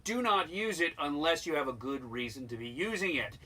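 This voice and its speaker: An American man with a slightly high, bright and forceful voice teaches like a sailing instructor. He is plain-spoken and emphatic, repeating key points in an informal how-to style. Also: animated, a little fast. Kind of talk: informal instructional demonstration to camera.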